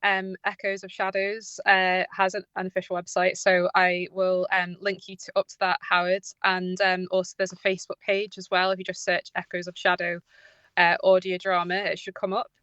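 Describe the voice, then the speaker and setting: A woman speaking as a writer in an informal radio interview, halting often mid-sentence. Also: on the low side, completely flat delivery, a bit bright, clear, resonant tone, no echo, highs cut off. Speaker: audio drama writer, interview guest.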